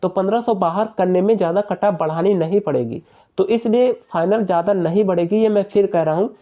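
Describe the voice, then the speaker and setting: Young male explainer, high-pitched, persuasive and repetitive, on a dull narrow recording. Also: brisk. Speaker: exam-prep YouTuber. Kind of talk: informal explainer addressing viewers.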